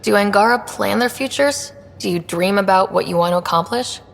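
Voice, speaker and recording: Lady playing a space explorer hero, curious and plainspoken, creaky voice, big reverb on it. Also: mid-range voice, fairly quick, lightly acted. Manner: dry, casual, friendly. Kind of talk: video game dialogue acting.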